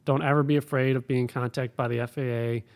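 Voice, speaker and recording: A man's voice: medium pitch, little pitch movement, fast talker, a little mellow. A man who works as an air traffic controller, answering interview questions in a plain, practical, explanatory way.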